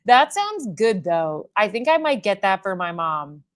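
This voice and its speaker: A woman's voice: mid-range voice, sing-song, unhurried. Woman, thirties, beauty livestream host, casual rambling chat with viewers, conversational and unscripted. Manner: chatty and rambling, with frequent "like" fillers. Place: at home doing makeup in front of a mirror.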